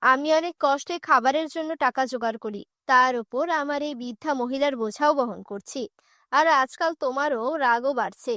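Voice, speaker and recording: A woman's voice: high voice, a little fast, bright, forceful tone, no room sound, clean studio sound. A woman voicing an overworked, impatient village mother in a children's cartoon, nagging in an exasperated manner. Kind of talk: children's fairy-tale cartoon voice acting.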